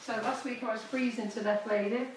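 A chatty woman in her fifties demonstrates cake piping in a home kitchen, speaking straight to her viewers. Her voice is a little squeaky from a cold. The sound is echoey and she often drifts away from the phone mic. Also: steady tone, fairly quick, recorded from a distance, slight background noise. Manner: chatty, instructive, addresses viewers directly. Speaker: cake decorating tutor. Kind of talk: live-streamed baking demonstration.

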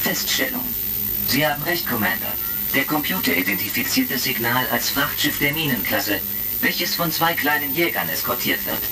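An actor playing a literal-minded, formal robot sidekick in a German sci-fi audio drama, announcing each statement with stilted precision. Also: male voice, mid-range voice, sing-song, moderate pace. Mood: formal, factual. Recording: retro crackly audio drama recording with music.

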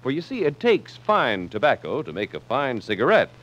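An American man delivering a 1950s radio advertising pitch as commercial announcer. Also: wildly swooping pitch, normal speed, a bit bright. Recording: muffled vintage radio broadcast.

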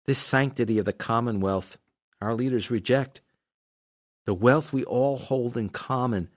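A man playing a cool, collected sage of sixty in a radio drama, speaking in solemn declarations. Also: fairly low pitch. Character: cool, collected sixty-year-old male doctor and sage. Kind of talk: scripted radio drama acting.

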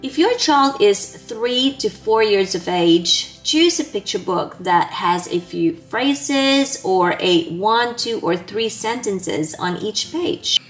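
A woman in her thirties giving a scripted, step-by-step instructional talk as an early childhood educator, clearly enunciated. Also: medium pitch, lively intonation, takes it slowly, hardly any pauses. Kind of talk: scripted instructional presentation to camera. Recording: background music under the voice. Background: music.

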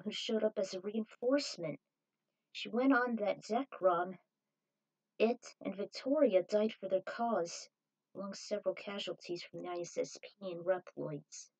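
A woman's voice: medium pitch, a little mellow, low-quality recording. A woman reading fan fiction aloud as an amateur narrator. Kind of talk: amateur story narration with acted dialogue.

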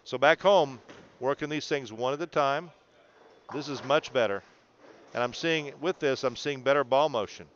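A man's voice: mid-range voice, moderate pace, muffled recording, a little hiss or hum. A man coaching a student through video analysis in an encouraging, explanatory way, often checking in. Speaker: bowling coach.

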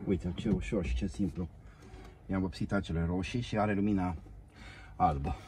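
Middle-aged guy, deep mellow voice, muttering and coaxing stuff in his car, phone mic, bit of hiss. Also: steady tone. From Romania. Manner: muttering to himself, coaxing the parts. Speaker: DIY car vlogger. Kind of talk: casual self-talk while working. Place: inside a parked car, outdoors.

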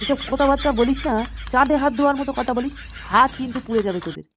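Testy, quick-tongued old village man played by a woman. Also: sits high, fairly bright tone, a little hiss or hum. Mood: chiding. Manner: repetitive, grumbling. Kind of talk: comic cartoon dialogue.

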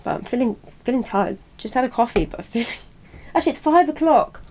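A woman's voice: on the high side, expressive, fast talker, darkish tone. A woman, chatting casually and informally for a vlog. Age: 20s. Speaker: vlogger living on a boat. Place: boat cabin, sometimes outdoors at a marina. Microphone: camera at arm's length. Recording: clear background noise, handheld camera.

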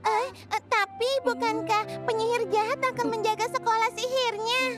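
A little witch girl from a children's cartoon, has an extremely high, girlish voice. She sounds earnest, caring and determined, with clear music underneath. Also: flat delivery, normal speed. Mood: shocked, worried. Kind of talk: children's animated cartoon dub. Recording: music behind the voice.

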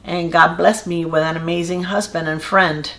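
A woman's voice: lowish voice, steady tone, normal speed, fairly bright tone. Earnest older woman with Cuban accent. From Cuba. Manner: chatty, earnest, rambling with restarts. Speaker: beauty channel creator. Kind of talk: casual talk to camera. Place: small indoor room with a wall of decorative tiles.

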